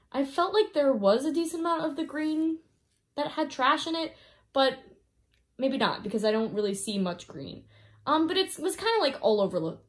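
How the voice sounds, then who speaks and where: Giggly, slightly congested young woman. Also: on the high side. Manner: rambling, giggly, lots of "um" and "like". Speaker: diamond painting vlogger. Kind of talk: casual review chat, reading off notes. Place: indoors at home, filming down at a canvas.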